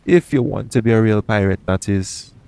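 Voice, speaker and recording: A man playing a roguish, caring pirate captain in an intimate audio roleplay, speaking in a soothing, gently teasing manner. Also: lowish voice, moderate pace, very noisy, lightly acted. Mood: teasing, playful.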